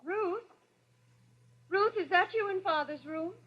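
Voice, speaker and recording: An actress playing a suspicious, fearful wife with a guilty secret, husky-voiced, in 1940s radio drama style. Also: female voice, extremely high pitch, slow, a little hiss or hum. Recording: dull lo-fi old radio recording.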